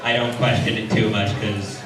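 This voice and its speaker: A man's voice: fairly low pitch, flat delivery, moderate pace, background noise. A man speaking casually on a convention panel in a hesitant, understated way. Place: large echoing convention panel room. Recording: echoey hall, distant mic, music behind.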